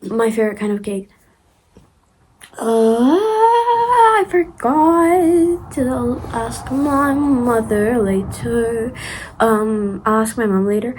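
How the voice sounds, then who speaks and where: A woman's voice: fairly high pitch, lively intonation, slow, darkish tone, a little hiss or hum, rough recording. Young woman, Ukrainian accent, casual rambling vlogger, lots of fillers. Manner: chatty, rambling, many fillers and restarts, giggly asides. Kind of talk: casual storytime vlog.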